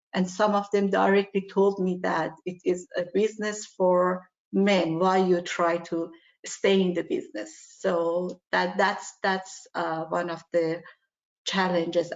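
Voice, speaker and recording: A woman from Iran answering as a webinar panelist, halting with many fillers and non-native phrasing. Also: fairly low pitch. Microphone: video call. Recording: muffled online audio.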